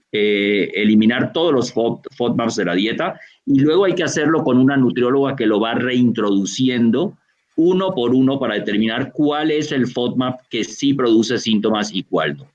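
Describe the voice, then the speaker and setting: A Colombian man with a Barranquilla accent speaks as a doctor, explaining at length and pausing often on fillers. His voice sits at a medium pitch. The sound is dull and lo-fi, as on a video call. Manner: explanatory, many fillers and restarts. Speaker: gastroenterologist lecturer. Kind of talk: online medical Q&A answer.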